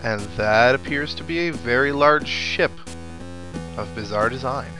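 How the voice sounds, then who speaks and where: A man plays through a video game and comments casually as he goes. His voice sits at an average pitch, and he muses to himself between readings of on-screen text. Game music plays clearly behind him. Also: unhurried, rough recording. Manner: musing, talks to himself. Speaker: let's play commentator. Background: video game music and sound effects.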